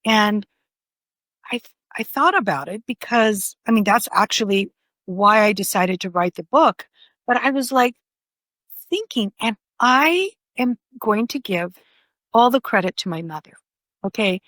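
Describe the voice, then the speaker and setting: A woman's voice: medium pitch, lively intonation, unhurried, fairly bright tone, no room sound. An older woman whose first language is Greek, talking as a podcast guest in a rambling way full of "you know" fillers. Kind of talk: conversational interview.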